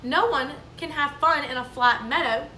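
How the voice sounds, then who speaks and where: Woman voicing young lambs, excitable, whiny, storybook, echoey room, mic a bit far. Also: high-pitched, normal speed, slight background noise, voice pushed up. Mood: eager.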